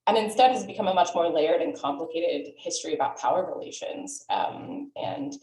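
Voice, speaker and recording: Historian woman in her 30s, lowish voice, animated, echoey room on a call. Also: moderate pace. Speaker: historian on a panel. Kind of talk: webinar panel remarks. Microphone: video call mic.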